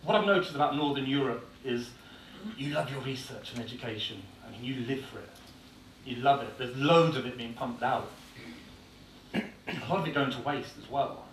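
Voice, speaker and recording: A man's voice: medium pitch, moderate pace, fairly bright tone. Irish-born man with an English accent, echoey hall. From Ireland. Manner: blunt, informal, with frequent "uh" fillers. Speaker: conference speaker. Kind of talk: conference presentation. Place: conference hall. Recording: echoey hall, distant mic, faint noise.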